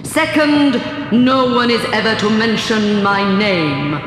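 A woman voicing a booming angry mountain. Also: sing-song, faint music behind. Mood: threatening. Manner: slow booming threats. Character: huge angry male mountain, booming and threatening. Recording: heavy echo effect.

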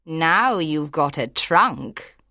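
A man voicing a calm, sly old python snake in a slow, knowing storybook voice. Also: fairly high pitch, no echo, pitched above the performer's own voice, heavily acted.

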